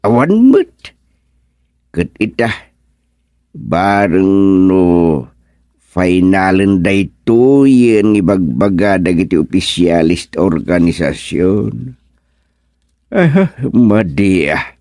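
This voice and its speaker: Slow, grumbling old Ilocano man played by an actor. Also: low-pitched, big pitch swings, a little mellow, dry recording, studio quality, exaggerated voice. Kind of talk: Ilocano radio comedy monologue acting.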